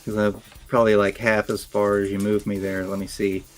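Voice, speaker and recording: A man's voice: fairly low pitch, level tone, brisk. A man chatting casually as a tabletop game player, describing what his character does.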